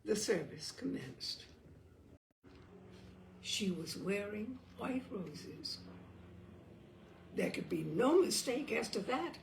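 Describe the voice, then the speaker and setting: Animated, creaky-voiced woman reading a story. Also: medium pitch, takes it slowly, long pauses, a little hiss or hum. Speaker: storyteller, audiobook reader. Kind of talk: expressive literary narration of a comic short story.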